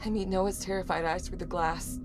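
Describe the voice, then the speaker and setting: A woman's voice: fairly low pitch, fairly even intonation, brisk, close to an everyday voice. An actress playing a determined young woman survivor, narrating and speaking in a horror audio drama. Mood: tense. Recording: music under the voice.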